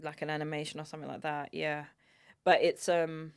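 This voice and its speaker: Candid London woman, interview guest, slightly low voice, relaxed and unhurried. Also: vocal fry, clear, resonant tone. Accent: London English. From East London. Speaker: guest, filmmaker and single mother. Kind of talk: casual conversational interview.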